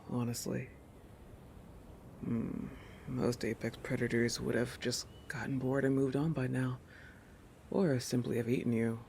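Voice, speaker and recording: Man acting out a slow, gravelly, possessive shark predator. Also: mid-range voice, darkish tone, slight background noise. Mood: musing, tender. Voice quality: breathy, often dropping to whispers. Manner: halting, musing, with thoughtful hums. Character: possessive, oblivious shark person, apex predator protector. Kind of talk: intimate scripted roleplay audio, ASMR-like.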